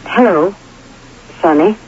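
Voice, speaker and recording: A woman acting a wistful, self-effacing middle-aged character in a radio drama, gently teasing and sometimes hesitant. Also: fairly low pitch, takes it slowly, slightly rough. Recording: dull, noisy 1980 radio recording.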